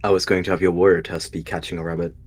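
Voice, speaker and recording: A man playing a calm, cold warrior cat, an evil mentor who sounds unbothered, in a roleplay audio drama. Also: sits low, racing, slightly rough. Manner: unbothered, coolly composed. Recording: music behind.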